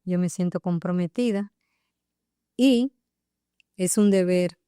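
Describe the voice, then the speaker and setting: A woman from Colombia's Caribbean coast with a smooth, clear voice on the lower side. She speaks slowly and hesitantly, pausing between phrases as she answers in a relaxed conversation. The sound is dry and close. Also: dry recording. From Barranquilla, Colombia. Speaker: interview guest, physician and professor. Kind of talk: casual podcast conversation.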